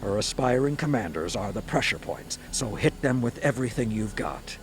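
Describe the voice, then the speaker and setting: An actor playing a stern, blunt, war-hungry military commander in a video game, speaking gravely and emphatically. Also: male voice, lowish voice, expressive, moderate pace, slight background noise, lightly acted. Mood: resolute. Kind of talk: scripted video game dialogue. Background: music.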